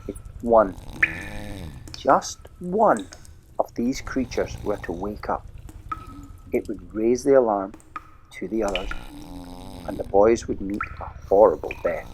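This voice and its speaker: A man narrating a children's storybook audio play in a measured, expressive way. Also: lowish voice, a little hiss or hum, rough recording.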